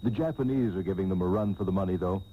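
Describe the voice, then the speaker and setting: A man's voice: low-pitched, fast talker, slight background noise, natural voice. Man as an earnest young preppy, tinny tape. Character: naive, polite young country-club man in a dungeon. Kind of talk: comic radio soap opera acting. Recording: tinny, humming old radio tape.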